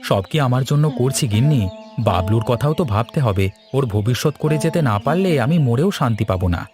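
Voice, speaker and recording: A man voicing a thrifty, grumbling middle-aged village trader in an animated moral story. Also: medium pitch, moderate pace, over music, natural voice. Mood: defensive.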